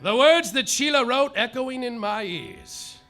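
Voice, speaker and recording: A man's voice: extremely high pitch, expressive, measured pace, pushed and bright, soft background music, exaggerated voice. An actor playing a hapless, overwrought private detective narrating his misfortunes in a live comedy radio play. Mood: mopey. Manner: melodramatic noir narration, overwrought. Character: hapless hard-boiled male private detective. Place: theater stage, live.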